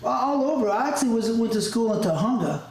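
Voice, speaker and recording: A man's voice: very high voice, expressive, fast talker, darkish tone. A man interviewing a guest in a loose, profane way, telling stories with laughs. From Southern California. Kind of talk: casual conversational interview. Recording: very echoey room, faint noise.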